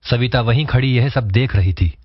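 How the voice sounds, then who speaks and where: A man's voice: mid-range voice, moderate pace, a little hiss or hum. A man narrating a scripted story. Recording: dull, lo-fi voice track.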